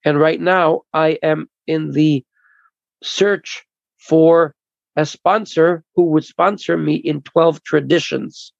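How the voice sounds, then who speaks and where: A man with a somewhat high, gravelly voice interprets for a speaker, pausing and restarting his phrases. The sound is dry and dull, like lo-fi video call audio. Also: fairly even intonation, no echo. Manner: halting, repeats and restarts phrases. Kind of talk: consecutive interpreting at a recovery meeting.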